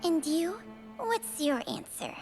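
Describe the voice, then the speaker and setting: An old snake spirit in a game, high swoopy slow voice, weary, theatrical. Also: female voice, sing-song, heavily acted. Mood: world-weary. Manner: languid, resigned, theatrical. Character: ancient female snake spirit, world-weary contract keeper. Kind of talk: dramatic video game acting. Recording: loud music over the voice.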